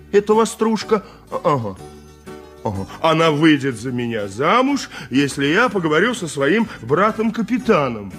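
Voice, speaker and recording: An actor playing a boastful, boisterous armourer in a radio play, exclamatory and chuckling. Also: male voice, fairly high pitch. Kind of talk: 1980s radio play acting.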